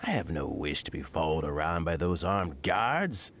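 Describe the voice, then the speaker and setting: Rambling old gentleman. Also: extremely low pitch, very animated, normal speed, slight background noise, heavily acted. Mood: earnest. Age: older. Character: forgetful, wordy, modest old gentleman official. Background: game music.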